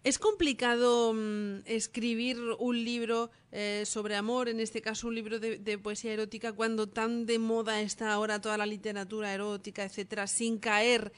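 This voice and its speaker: A woman's voice: on the high side, little pitch movement, normal speed, few pauses, smooth. A woman radio host presenting and interviewing a phone guest in an announcer style. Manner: announcer-style, poised questions.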